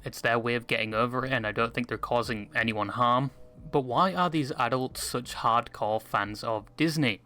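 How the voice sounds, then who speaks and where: A man narrates in the informal manner of an online video essay, following a script. His voice sits at a medium pitch. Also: normal speed, pushed and bright.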